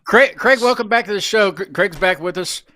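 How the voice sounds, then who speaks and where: A man's voice: high voice, moderate pace, pushed and bright, no echo, clean studio sound. An American man hosting a live interview show, chatting casually in an informal, rambling way. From West Virginia, United States.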